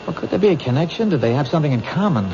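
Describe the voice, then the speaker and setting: Animated, insistent young-rank detective. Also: male voice, fairly high pitch, very fast. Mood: tentative probing. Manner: insistent, reasoning aloud, emphatic. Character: earnest, questioning male junior detective. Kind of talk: 1970s radio drama acting. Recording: muffled old radio broadcast, faint noise.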